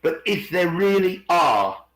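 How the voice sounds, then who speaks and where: A man's voice: high-pitched, very animated, slow pace, fairly bright tone. A middle-aged man in casual, opinionated discussion on an online call, rephrasing himself emphatically as he goes. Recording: roomy, echoey online call.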